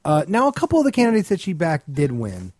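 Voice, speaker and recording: A man's voice: on the high side, huge pitch swings, fast talker, a little mellow. A man co-hosting a podcast in casual comedy chat, ranting with crude, sarcastic scorn. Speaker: podcast co-host.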